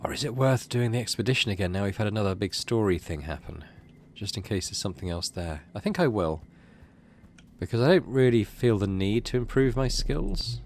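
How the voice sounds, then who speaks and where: Lively, low-voiced man commentating on a game. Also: brisk, slight background noise. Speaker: video game let's player. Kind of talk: casual game commentary, thinking aloud.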